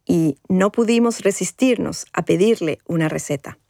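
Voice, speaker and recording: A woman narrating a scripted documentary podcast as its host, with a measured, formal delivery. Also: lowish voice, gravelly, dark tone, no echo, clean studio sound.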